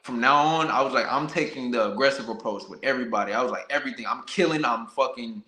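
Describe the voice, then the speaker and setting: Bright-voiced American man in a roomy space. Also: medium pitch, fast. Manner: candid, colloquial, peppered with 'you know what I'm saying'. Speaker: professional boxer, podcast guest. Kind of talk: casual personal storytelling in an interview. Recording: roomy, echoey sound.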